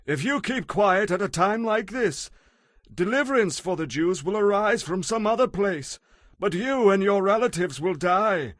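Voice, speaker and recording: An actor playing a stern, urgent guardian in a dramatized audio Bible, exhorting with earnest passion. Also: male voice, sits high, animated, moderate pace, fairly bright tone, no echo. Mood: urgent warning.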